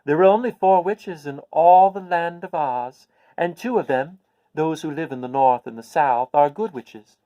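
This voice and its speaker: Guy doing a nice little old witch lady from a storybook, raised pitch, explains things emphatically, dry close sound. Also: moderate pace, warm tone, no room sound, lo-fi sound, close to an everyday voice. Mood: earnest explaining. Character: kindly, calm little old woman, a good witch. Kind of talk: storybook character voice.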